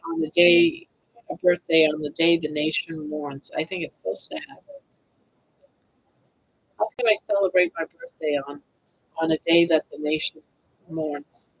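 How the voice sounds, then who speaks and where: A woman's voice: medium pitch, gravelly, breathy edge, muffled recording. A woman in her sixties hosting a casual live stream, chatting in a rambling way and restarting her sentences. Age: 60s.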